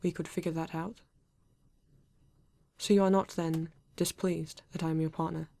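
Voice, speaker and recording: A woman voicing a polite, formal male android detective in an ASMR roleplay, with a British accent and stiff, measured phrasing. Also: low voice, level tone, gravelly, warm tone, no room sound. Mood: earnest, composed.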